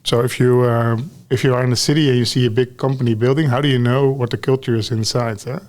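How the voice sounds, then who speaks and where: A Dutch man in his forties with a Dutch accent, a guest on a podcast, talking in a relaxed way and ending sentences with "huh?" and "eh?". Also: mid-range voice, fast talker. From Netherlands. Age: 40s. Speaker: guest, talent lead. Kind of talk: podcast conversation.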